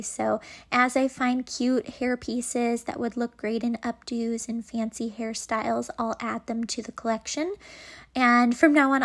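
A woman's voice: a little high, gravelly. A woman vlogger giving a casual, chatty voice-over about home organizing. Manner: chatty, informal.

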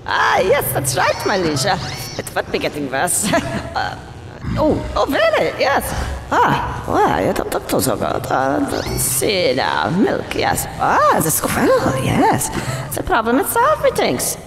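An actor playing a scheming, eccentric evil professor in a video game, fawning and pompous with theatrical, drawn-out muttering. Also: medium pitch, huge pitch swings, gravelly, over music, heavily acted. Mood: fawning, nervous. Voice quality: theatrical, swooping voice. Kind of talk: video game cutscene acting.

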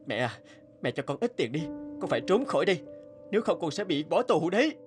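An actor playing an emotional, hot-tempered young son in a cartoon, with dramatic delivery. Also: male voice, fairly high pitch, sing-song, fast. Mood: panicked. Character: hot-tempered, resentful young man, later remorseful son. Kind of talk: cartoon dubbing. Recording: clear music under the voice.

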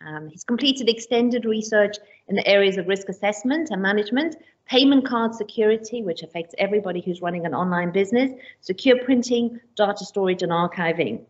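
A woman's voice: mid-range voice, brisk. A woman in her thirties hosting a conference, introducing the next speaker at a podium with frequent ums. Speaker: event host. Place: conference room indoors. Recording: dull lo-fi stream audio.

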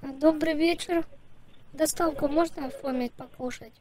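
High-pitched, whiny bratty boy. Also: steady tone, normal speed, darkish tone. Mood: innocent, polite. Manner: childish, pleading, foul-mouthed. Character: whiny, bratty boy prankster begging for food. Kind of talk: prank phone call.

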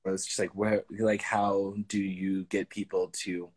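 Man, young adult, husky, lowish, casual live video chat, reflective and loose, phone mic at home. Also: little pitch movement, a little slow. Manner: reflective, loose phrasing with fillers. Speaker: artist in conversation. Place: indoors at home. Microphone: phone close to face on a video call.

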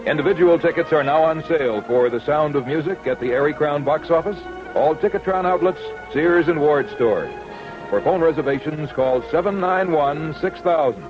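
A man's voice: a little high, expressive, moderate pace. An American man reading a scripted promotional radio advert as a commercial announcer. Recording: dull old radio advert recording. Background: music.

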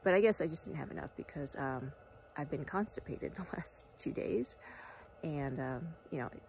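Woman in her 40s rambling into her phone outside, lowish voice, bit of wind and outdoor hum. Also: moderate pace, a little mellow, poor audio. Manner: rambling, chatty, many fillers, sometimes trails into a whisper. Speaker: vlogger and wellness guide. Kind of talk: casual chatty vlog to camera. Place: outdoors at a ranch beside a travel trailer. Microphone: phone held at arm's length.

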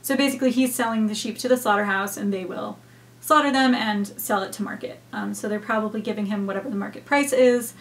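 Brief: young woman, home reaction host, hesitant reflective chat, mid pitch, webcam at desk. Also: fast talker. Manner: thoughtful, hesitant, many fillers. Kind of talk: casual reaction commentary. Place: home room with bookshelves.

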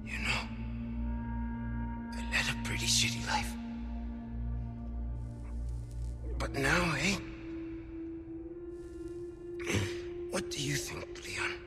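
An actor playing a roguish, wisecracking charmer with a Spanish accent in a video game cutscene, speaking theatrically. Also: male voice, mid-range voice, expressive, close to an everyday voice. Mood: weak, wistful. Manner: theatrical, playful, drawn-out phrasing. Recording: music under the voice.

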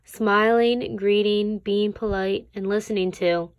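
A woman reading a children's picture book aloud as a teacher would, with deliberate phrasing for young listeners. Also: mid-range voice, steady tone, clean tone, no echo. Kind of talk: read-aloud children's storybook narration.

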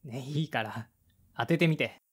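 A man's voice: medium pitch, measured pace, breathy edge. A man playing a blunt, awkward man of thirty-five in an audio drama, in casual dialogue and brooding narration. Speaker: lead character and narrator.

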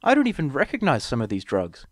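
A man's voice: medium pitch, huge pitch swings, quick pace, dry recording, studio quality, voice pushed up. A man voicing a ladylike, bossy female pony medic in an audiobook, prim and exasperated. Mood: concerned, exasperated. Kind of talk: audiobook character voice.

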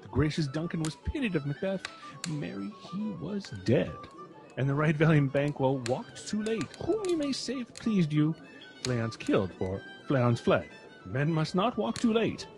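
A man's voice: on the high side, sing-song, moderate pace, over music. A man playing a sardonic Scottish lord in a radio drama, speaking with heavy irony. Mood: ironic.

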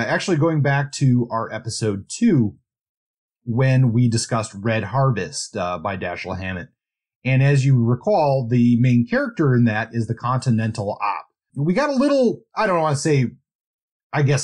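A man's voice: medium pitch, a little mellow. A man co-hosting a podcast, talking about books in a conversational, loosely hedged way. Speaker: podcast co-host. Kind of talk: casual book discussion.

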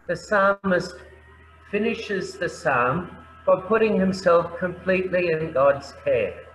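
An Australian man, a priest, giving a gentle, reverent sermon. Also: sits high, expressive, takes it slowly, soft background music. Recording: echoey room, faint background noise.

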